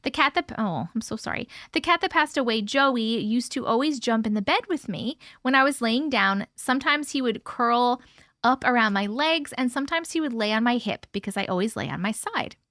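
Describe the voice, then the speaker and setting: A woman's voice: fairly high pitch, expressive, moderate pace, fairly bright tone, no room sound, clean studio sound. A woman hosting a live stream from home, chatting effusively with viewers and reading their stories aloud. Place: at home with her cat.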